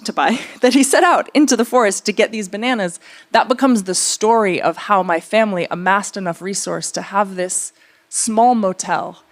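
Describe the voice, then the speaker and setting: Woman artist on a panel, mid pitched voice, thinking out loud, circles back a lot, storytelling kinda talk. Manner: reflective, searching, pausing and circling back. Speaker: theater artist speaking on a panel. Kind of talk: conversational storytelling at a public talk.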